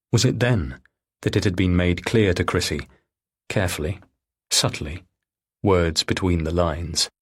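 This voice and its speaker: A male audiobook narrator reads scripted thriller fiction. His voice sits on the low side and has a husky edge.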